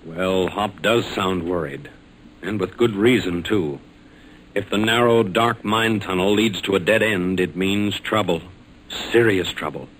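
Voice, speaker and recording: A man's voice: low-pitched, a little slow, fairly bright tone, low-quality recording. An American man announcing a 1940s radio serial in a dramatic, punchy, salesmanlike scripted style. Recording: vintage radio recording with faint noise.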